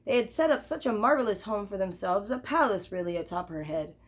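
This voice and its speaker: Animated woman reading a children's storybook. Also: medium pitch, fairly quick. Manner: animated, with chatty asides to listeners. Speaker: teacher reading a picture book to children. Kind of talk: expressive children's storybook read-aloud.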